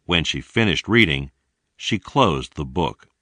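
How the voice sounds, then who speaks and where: A man reading scripted narration for an English language lesson in a deliberate, carefully enunciated way. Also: rumbling low, expressive, bright, forceful tone, no room sound.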